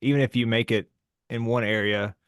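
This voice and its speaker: A man's voice: mid-range voice, steady tone, brisk, clean tone, no room sound, studio quality. A man hosting a podcast, chatting in an easygoing, encouraging way. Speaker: podcast host. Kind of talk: casual chat.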